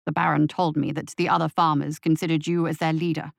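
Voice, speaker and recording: A pompous aristocratic gentleman from a dark storybook, cold and dismissive, delivering clipped, haughty lines, as a woman reader puts him on. His voice sits a little lower than her own and sounds dry and close. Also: little pitch movement, fast, gravelly, dry recording.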